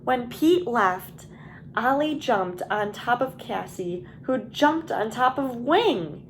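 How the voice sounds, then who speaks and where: A woman teacher reading a picture book aloud to young children in a deliberate, expressive storytelling way. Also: fairly high pitch, a little hiss or hum.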